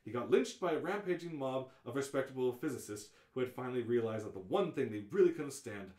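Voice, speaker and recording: Guy reading narration for a live radio play, average pitch, echoey room. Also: animated, quick pace, mellow. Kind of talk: scripted narration, amateur live radio-play read-through.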